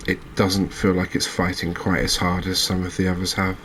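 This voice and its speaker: A man giving quiet, unhurried ASMR-style commentary as he plays a video game, musing aloud with hesitant pauses. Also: low-pitched, completely flat delivery, slight background noise. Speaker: host of a gaming channel playing a fishing game.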